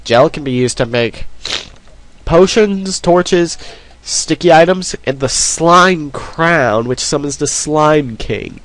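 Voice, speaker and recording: A man's voice: on the high side, animated, slow pace, fairly bright tone. A man with a cold, sniffling as he rambles through casual let's play commentary. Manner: rambling, self-deprecating, many ums.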